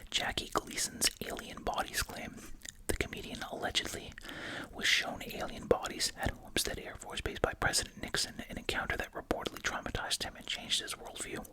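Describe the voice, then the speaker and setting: An ASMR host whispers right up at the microphone in a breathy, unvoiced voice. The delivery mixes reading aloud with casual chatty asides, with gum chewing through the words. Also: brisk, poor audio. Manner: chewing gum while talking.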